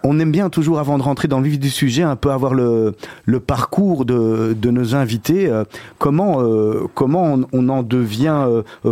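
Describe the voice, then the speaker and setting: Male interview host, mellow warm tone, dry close sound, friendly and joking. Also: medium pitch, no room sound. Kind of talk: conversational interview.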